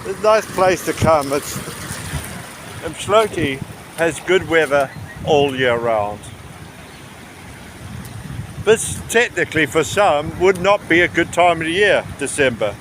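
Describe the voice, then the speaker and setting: A man giving a casual, rambling travel commentary outdoors by the sea, often pausing mid-sentence. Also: a little high. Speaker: travel vlogger. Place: outdoors on a rocky seashore by tidal pools. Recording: windy outdoor recording with sea noise. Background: wind and waves.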